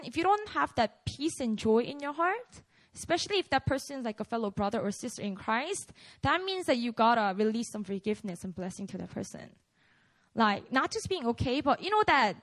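A woman's voice: a little high, a bit bright. A Korean woman pastor, a native Korean speaker, giving a casual, conversational sermon at a prayer meeting, with many fillers.

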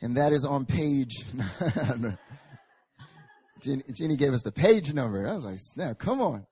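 Young male pastor, hoarse and raspy, relaxed joking turning to loud fervent prayer, dry close sound. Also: mid-range voice, a little slow, dry recording. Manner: casual and joking, rising to loud fervent Scripture reading and prayer. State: hoarse, recovering from a chest infection. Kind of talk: sermon. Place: church service.

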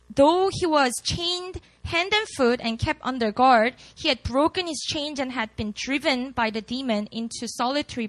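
A woman in her late twenties, a pastor with a Korean accent, chatting warmly and laughing at herself before reading scripture to a campus group. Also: high voice, no room sound, low-quality recording. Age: late 20s. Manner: chatty, self-deprecating, laughs often.

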